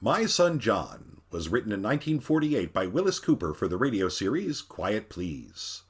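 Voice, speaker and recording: A man reading the closing credits of a radio drama podcast in a plain, even manner. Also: on the low side, moderate pace, breathy edge. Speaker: announcer.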